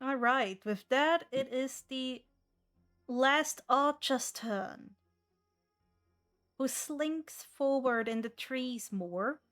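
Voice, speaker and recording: A woman's voice: sits high, drawn-out words, a bit bright, soft background music. A woman acting as game master, narrating and refereeing a tabletop role-playing game.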